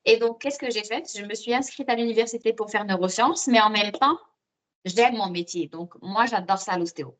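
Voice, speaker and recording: A woman's voice: medium pitch, moderate pace. A woman from Morocco talking casually as an interview guest, telling her own story with repeated words as she thinks. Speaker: podcast guest; osteopath and student.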